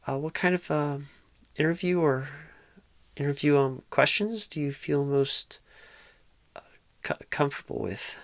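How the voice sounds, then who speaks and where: Casting: female performer as bashful rookie recruiter for ASMR roleplay; low, slow, creaky, awkward and stammering. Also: a little hiss or hum. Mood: nervous, hesitant. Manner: halting, stammering, apologetic, awkward. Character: painfully shy, nervous, inexperienced job recruiter on first day. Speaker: job interviewer. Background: computer keyboard typing.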